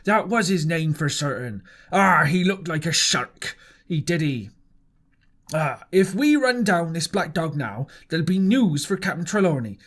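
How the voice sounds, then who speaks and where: A man's voice: fairly high pitch, animated, a little slow, fairly bright tone. A man voicing a jovial one-legged old sea cook, boisterous and wheedling, full of sailor slang. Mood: excited.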